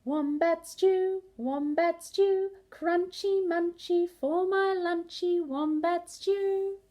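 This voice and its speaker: A woman's voice: extremely high pitch, steady tone, takes it slowly, warm tone, voice pushed up. A woman voicing a greedy, boastful male dingo cook in a children's picture book, gloating and sing-song. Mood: gleeful singing.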